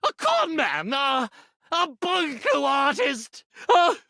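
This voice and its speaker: Pompous, wildly swooping stage magician. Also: male voice, very high-pitched, huge pitch swings, takes it slowly, gravelly, bright, forceful tone, dry recording, clean studio sound, heavily acted. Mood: wailing, ashamed. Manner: pompous, melodramatic, florid showman's diction. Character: grandiose theatrical stage magician, living iron and ironing board. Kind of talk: video game character voice acting.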